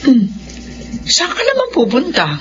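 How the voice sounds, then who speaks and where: Woman playing fussing protective filipina mom, radio drama, expressive, muffled audio. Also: high-pitched, a little slow, background noise, heavily acted. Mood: concerned. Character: worried, protective mother of a grown son. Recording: dull lo-fi audio.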